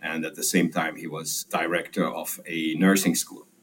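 An older man from Romania whose first language is Hungarian, telling his life story in an interview in a thoughtful, unhurried way. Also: very deep voice, flat delivery, fairly bright tone, a little hiss or hum. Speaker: guest, emeritus radiology professor. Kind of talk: interview conversation.

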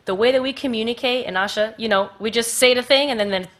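A woman's voice: a little high, fast talker, fairly bright tone. A woman with an American accent, speaking directly and passionately as a panel guest. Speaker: panel guest, stage performer. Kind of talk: casual panel conversation.

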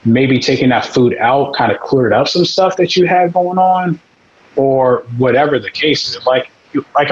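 A man's voice: medium pitch, muffled recording. A man in casual podcast chat, speaking bluntly with some swearing and acting out the people he quotes.

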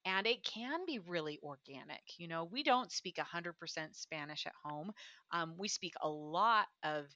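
A woman's voice: lowish voice, animated, normal speed, pushed and bright, no room sound, highs cut off. A woman hosting an interview, enthusiastic and affirming, laughing often. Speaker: podcast host. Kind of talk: conversational interview.

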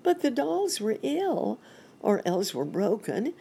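A flustered monkey doctor from a children's storybook, acted out by a woman, pitched high and swooping up and down. He laments his lot in polite, wordy exclamations, full of self-pity. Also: big pitch swings, takes it slowly, creaky, mellow, slightly rough, exaggerated voice. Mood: overwhelmed, fretting.

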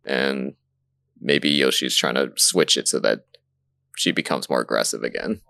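A man's voice: extremely low pitch, unhurried, gravelly, bright, forceful tone, no echo, studio quality. A man chatting casually as a podcast panelist. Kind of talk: casual animated chat about anime.

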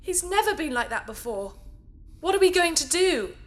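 A woman acting as a small living teddy bear in a sci-fi audio drama, squeaky and childlike, earnest, loyal and anxious. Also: very animated, normal speed. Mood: worried. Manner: earnest, pleading.

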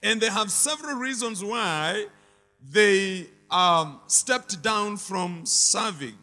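A man's voice: high voice, expressive, slow pace, bright, forceful tone, big-room sound. A man preaching a sermon with emphatic, repetitive phrasing and call-and-response to the congregation.

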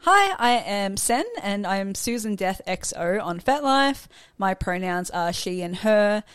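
A woman in her late twenties talks casually as a podcast panelist. Her voice has a middle pitch. The recording is dry and free of room sound. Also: expressive, a little slow, fairly bright tone, clear, resonant tone, no room sound. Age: 20s. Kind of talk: casual chat.

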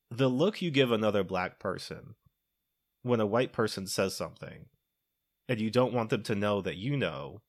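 A man hosting a podcast, talking through a film in a loose conversational way with lots of fillers. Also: fairly low pitch. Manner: conversational, explains at length with many fillers. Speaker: podcast host. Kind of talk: casual film discussion.

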